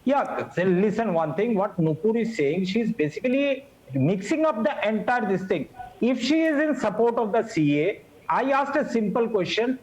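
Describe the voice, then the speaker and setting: A man, speaking as a panelist in a heated TV news debate, combative and quick to interrupt. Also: high-pitched, dull audio. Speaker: political panelist.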